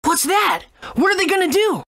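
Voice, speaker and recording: A woman's voice: extremely high pitch, sing-song, normal speed, a bit bright, heavily acted. A woman voicing an eager, wide-eyed young boy hero in a video game, enthusiastic and adventurous. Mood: curious.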